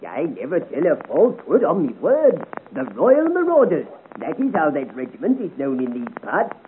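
An actor playing a nervous, obsequious coach driver in a 1950s radio serial, hesitant and grovelling. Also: male voice, on the high side, huge pitch swings, normal speed, narrow, tinny audio. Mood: nervous, placating. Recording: scratchy 1950 radio recording, thin band.

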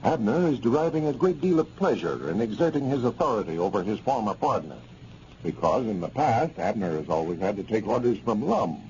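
A man's voice: lowish voice, sing-song, a little fast, a little mellow. A man with an American accent delivering scripted narration as a 1930s radio announcer. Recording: muffled, noisy 1930s radio recording.

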